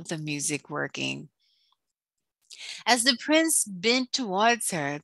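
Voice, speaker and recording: A woman narrating a scripted children's storybook in a deliberate read-aloud manner. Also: mid-range voice, gravelly, bright, forceful tone, dry recording.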